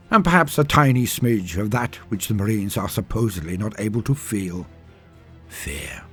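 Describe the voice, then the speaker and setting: A man delivering grandiose scripted narration like a stirring speech, emphatic and full of deliberate dramatic pauses. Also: fairly low pitch, fairly bright tone, husky. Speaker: narrator of a fan lore channel.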